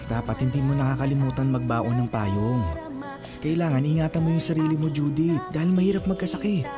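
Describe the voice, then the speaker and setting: An actor playing a polite, caring young man in a Filipino radio drama, gentle and earnest. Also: fairly high pitch, steady tone, brisk, close to an everyday voice. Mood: tender, earnest. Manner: gentle, courteous, solicitous. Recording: music bed behind voice.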